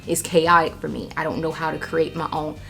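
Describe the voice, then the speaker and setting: A low-voiced American woman with a noticeable creak talks casually to her vlog audience. She is candid and reflective, circling back over phrases to stress them, with faint music behind her. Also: fairly even intonation, fairly quick, sharp tone. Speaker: vlogger.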